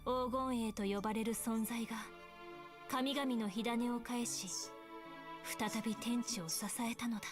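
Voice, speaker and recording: A solemn female oracle played by an actress narrates a fantasy game cinematic in a fairly high voice. She declaims grave prophecy in short, deliberate phrases, with loud music swelling behind her. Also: steady tone, natural voice. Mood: solemn, portentous.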